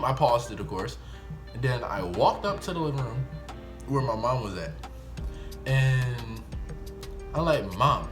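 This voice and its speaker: Young American man telling a story over music. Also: mid-range voice. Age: young adult. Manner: animated, slangy, re-enacts dialogue. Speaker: YouTube storyteller. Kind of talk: casual first-person storytime vlog.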